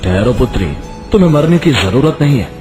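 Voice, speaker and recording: A man voices a benevolent Moon king in a mythical storybook tale. He speaks formally and solemnly, with broad rises and falls in pitch. Music plays clearly underneath. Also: sing-song, a little fast, natural voice. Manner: stately, formal pronouncements. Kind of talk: Hindi moral story acting. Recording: music behind the voice.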